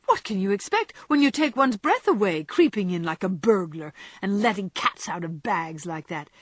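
A woman's voice: medium pitch, sing-song, moderate pace, slightly rough, dry recording. A woman voicing a spirited, teasing young woman in a storybook, given to exclaiming and mock scolding.

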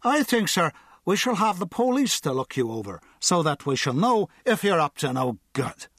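A man's voice: fairly high pitch, very animated, moderate pace, a bit bright, dry recording, clean studio sound, pitched above the performer's own voice, exaggerated voice. A man voicing a blustering, red-bearded drunk with a Dublin accent, slurring pompously and hiccuping. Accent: Irish, Dublin.

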